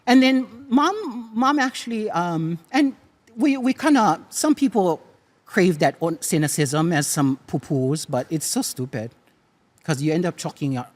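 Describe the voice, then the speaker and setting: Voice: medium pitch, lively intonation, a little slow. A guest from Hawaii with a Hawaiian Pidgin accent, talking emphatically in a teacherly way and repeating phrases for weight. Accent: Hawaiian Pidgin English. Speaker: guest, cultural practitioner. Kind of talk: casual conversation.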